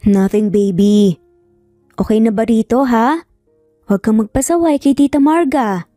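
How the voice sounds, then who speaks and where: A woman's voice: a little high, a little slow, warm tone, no echo, studio quality, soft background music, lightly acted. A woman voicing a feisty, easily flustered young single-mother nanny, sassy yet polite, in a narrated story. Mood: tender.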